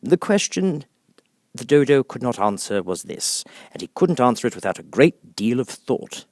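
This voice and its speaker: A man's voice: mid-range voice, sing-song, normal speed, darkish tone, no echo, studio quality. A man reading scripted storybook narration as an audiobook narrator.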